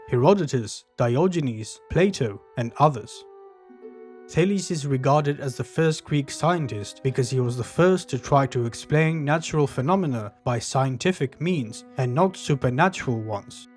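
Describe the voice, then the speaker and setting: Male documentary narrator, mid-pitched, even and measured delivery, soft music underneath. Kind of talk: scripted history narration. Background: faint background music.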